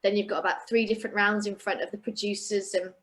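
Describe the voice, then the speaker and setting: A woman's voice: medium pitch, flat delivery, fast talker, fairly bright tone. A young woman talking as a guest in a casual interview, chatty and often restarting her sentences. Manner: chatty, with frequent restarts and fillers. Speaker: guest singer and actress.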